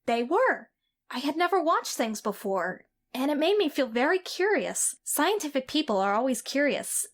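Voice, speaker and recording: A woman's voice: sits high, a bit bright. A woman voicing a sickly, imperious young boy who speaks in a defiant, declaiming way. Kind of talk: audio drama acting.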